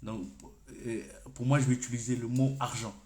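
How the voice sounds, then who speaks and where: A Comorian-speaking man giving a loose, off-the-cuff coaching talk, addressing the viewer informally. He works through his ideas out loud and restarts his sentences as he goes. His mid-range voice rings in a roomy, echoing space. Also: mid-range voice, steady tone, moderate pace. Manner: thinks aloud, informal, stops and restarts phrases. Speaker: personal development coach. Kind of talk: unscripted coaching talk to camera. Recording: echoey room.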